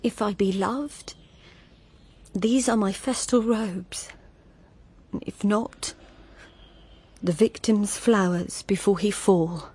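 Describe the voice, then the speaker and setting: A woman's voice: mid-range voice, a little mellow, breathy edge. A woman playing a lovesick, wilful young maiden in a verse audio drama, pleading and anguished. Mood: wistful. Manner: slow, pleading, anguished delivery.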